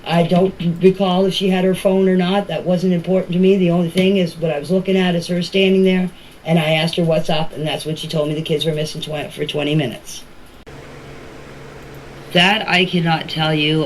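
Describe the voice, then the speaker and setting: Casting: mature woman, plain-spoken and colloquial, recounting events in order, homemade voice-message sound with some noise. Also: on the low side. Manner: plain, step-by-step telling in colloquial grammar. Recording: noisy voice-message clips.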